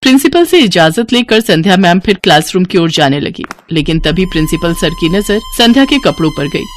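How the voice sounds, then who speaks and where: A woman's voice: fairly low pitch, huge pitch swings, fairly quick, no echo, soft background music. A woman narrator reading a scripted moral story.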